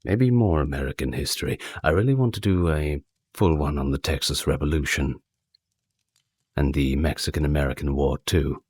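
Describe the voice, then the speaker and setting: Very deep, breathy male ASMR host. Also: moderate pace, a little mellow, no echo. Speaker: host of an ASMR history channel. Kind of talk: ASMR, casual outro to listeners.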